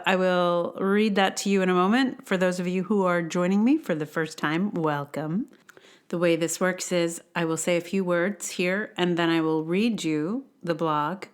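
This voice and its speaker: A woman hosting a podcast, speaking in a conversational, wry way with emphatic stresses. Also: on the low side. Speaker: podcast host and blogger. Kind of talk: casual intro chat and read-aloud blog.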